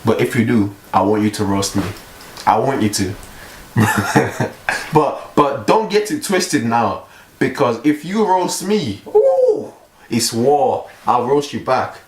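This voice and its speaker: A young man vlogging in a casual, rambling way, with a mellow voice and a joking, self-mocking manner. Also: medium pitch, big pitch swings, takes it slowly. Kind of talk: casual vlog monologue. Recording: echoey room with faint hiss.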